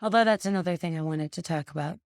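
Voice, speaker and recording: A woman in her fifties hosting a casual interview on a video call, upbeat and quick to jump in. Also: lowish voice, no room sound, studio quality. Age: 50s. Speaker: host.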